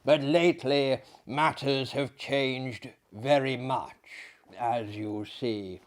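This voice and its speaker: A man playing a blustering, excitable asylum director. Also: mid-range voice, slow pace, close to an everyday voice. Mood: emphatic. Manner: blustering, emphatic, theatrical. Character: genial, excitable French asylum director, easily indignant host.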